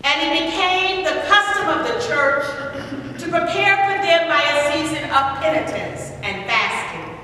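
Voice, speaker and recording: Woman priest for a formal service: high voice, unhurried solemn reading, heard far off across a reverberant sanctuary. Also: animated, pushed and bright, slight background noise. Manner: slow, measured, solemn recitation. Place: large church sanctuary, from the altar. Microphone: far camera at the back of the church. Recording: echoey church hall from far off.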